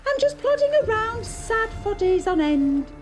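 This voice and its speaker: A woman's voice: extremely high pitch, sing-song, unhurried, soft background music, pitched above the performer's own voice, heavily acted. A woman voicing a lonely, lovesick crab for a puppet show, speaking plaintively in rhyming verse. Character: lonely, lovesick crab living in a rock pool. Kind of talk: puppet show character acting.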